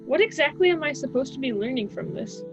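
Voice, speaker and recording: Woman playing a flippant high-voiced college student. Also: expressive, quick pace, over music. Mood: impatient, flippant. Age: young adult. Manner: flippant, casual, exasperated. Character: impatient, scheming female college student, flippant. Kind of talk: amateur student radio drama acting. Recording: dull, dry lo-fi recording.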